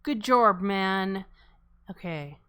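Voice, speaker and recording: Clear-voiced woman hosting. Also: medium pitch, expressive, very slow, a bit bright. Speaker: host moderating a feedback panel. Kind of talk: casual live stream hosting.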